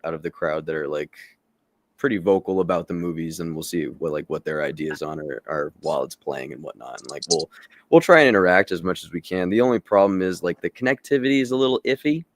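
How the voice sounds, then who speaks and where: A low-voiced man chats casually as a podcast co-host. The sound is dry and close, and he talks like a devoted fan, loose with his swearing. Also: fast talker, no echo. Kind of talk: casual live podcast chat.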